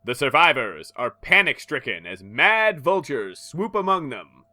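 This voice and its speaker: Casual: guy hamming up a comic reading, bouncy pitch, takes his time. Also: very animated, pushed and bright, dry recording. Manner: slow narration broken by laughs and jokes. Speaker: podcast host reading a comic aloud. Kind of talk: comedic dramatic reading.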